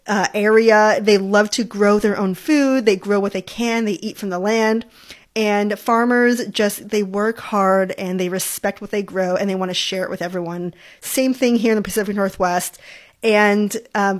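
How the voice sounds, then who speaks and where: A young adult woman from New York, hosting a food podcast in a friendly, chatty way and talking with enthusiasm about food. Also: medium pitch. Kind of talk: casual solo podcast talk.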